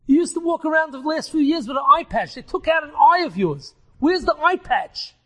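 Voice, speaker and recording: A flustered, devout man whose questions and worries tumble out with climbing pitch, as a storyteller puts him on. The voice sits very high for a man and keeps rising when he presses a point. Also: expressive, normal speed, pushed and bright, big-room sound, full character voice. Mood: astonished. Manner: exclaiming, pleading, rising pitch.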